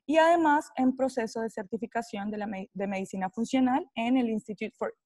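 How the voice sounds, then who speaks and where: A woman's voice: on the high side, brisk, a little mellow, dry recording, clean studio sound. A woman hosting a podcast, enthusiastically reading her guest's background and asking questions. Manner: enthusiastic, reads guest bio. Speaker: podcast host. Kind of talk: podcast introduction and interviewing.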